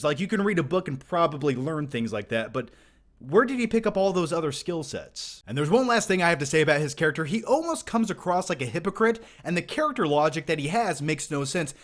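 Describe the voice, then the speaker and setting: Animated man, slightly high voice. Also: fairly quick. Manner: playful, mock-serious, sarcastic. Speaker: film review video host. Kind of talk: casual scripted movie review commentary to camera.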